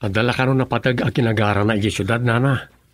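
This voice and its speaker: An actor playing an earnest, lovelorn young provincial farmer in an Ilocano radio drama, with an Ilocano accent. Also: male voice, medium pitch, steady tone, fast, close to an everyday voice. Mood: earnest, worried.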